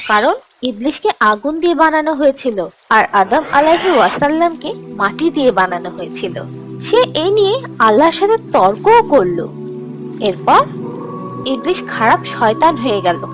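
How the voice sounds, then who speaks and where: A woman playing a gentle, encouraging teacher who tells scripted religious stories to children in a cartoon. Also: a little high. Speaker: storyteller, teacher.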